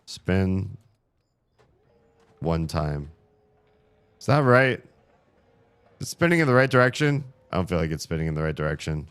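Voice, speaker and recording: A man streaming a puzzle game, grumbling sarcastically about the puzzles while his voice is going hoarse. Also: sits low, big pitch swings, moderate pace, pauses often, a bit bright, no echo. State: losing his voice. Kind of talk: casual live-stream commentary.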